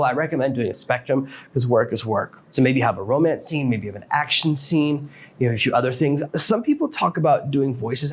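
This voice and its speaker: Male podcast host, medium pitch, plainspoken and explanatory, instructional delivery with a faintly noisy background. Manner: plainspoken, explanatory, addresses listener directly. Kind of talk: instructional solo podcast talk.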